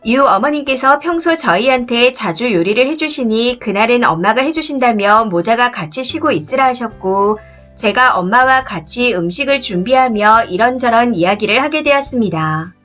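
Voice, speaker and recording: A woman narrating a first-person story in a calm, even scripted read. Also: on the high side, moderate pace. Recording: soft music behind the voice. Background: faint background music.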